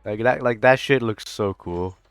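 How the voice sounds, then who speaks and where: A man with a lowish, smooth voice reacts casually to a video game. He rambles informally and swears a bit, close and dry on the mic. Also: moderate pace, no room sound. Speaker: gaming reaction YouTuber. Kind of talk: casual reaction commentary over game footage.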